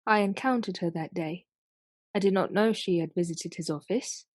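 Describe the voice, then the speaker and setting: A woman's voice: on the low side, normal speed, no echo, studio quality, close to an everyday voice. A woman playing a restrained, dignified widow giving formal testimony in a period radio play. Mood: composed, subdued. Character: reserved, dignified widowed lady testifying in court.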